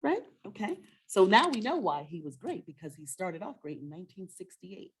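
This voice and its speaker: A woman's voice: medium pitch, big pitch swings, normal speed, breathy edge. A middle-aged woman hosting a children's story-time webinar, talking casually and encouragingly and often asking questions. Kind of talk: casual conversational presenting to and with a child.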